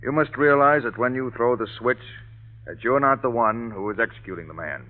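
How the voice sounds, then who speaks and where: An actor playing an earnest, authoritative prison warden in vintage radio drama, with a formal, measured delivery. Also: male voice, medium pitch, natural voice. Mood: official, grave. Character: earnest authoritative male prison warden, businesslike, somewhat jumpy. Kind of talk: 1930s-40s radio drama acting. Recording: tinny narrow-band vintage radio recording.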